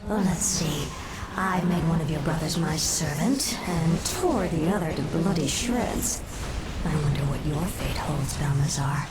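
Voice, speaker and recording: Icy undead warrior queen: very low, commanding, merciless game cinematic role. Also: lively intonation, over a noisy crowd. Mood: mocking. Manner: cold, commanding, deliberate. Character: cold, ruthless undead queen commanding her forsaken. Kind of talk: video game cinematic acting. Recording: loud music behind dialogue.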